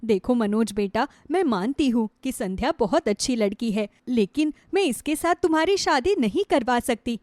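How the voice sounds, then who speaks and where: A woman's voice: on the high side, lively intonation, normal speed, hardly any pauses, a little mellow, no echo, voice pushed down, lightly acted. A woman voicing a polite but fussy, judgmental middle-aged prospective mother-in-law in a moral story cartoon. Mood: disapproving.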